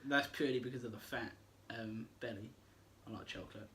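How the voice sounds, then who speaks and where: A man's voice: medium pitch, brisk, a bit bright, slight background noise. A young man, co-hosting a casual podcast, chatting and teasing as he reads out listener questions. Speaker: podcast co-host. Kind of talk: casual chat.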